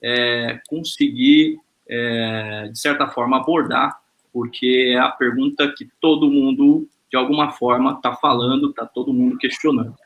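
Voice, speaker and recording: A man hosting an informal web panel discussion over a video call, relaxed and lightly joking, with frequent hesitant fillers. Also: mid-range voice, unhurried. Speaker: host.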